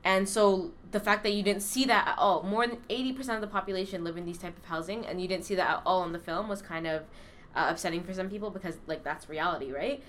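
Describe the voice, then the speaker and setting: A woman in casual conversation, talking relaxed and hesitant with many ums. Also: lowish voice, fast talker, slight background noise. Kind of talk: casual chat.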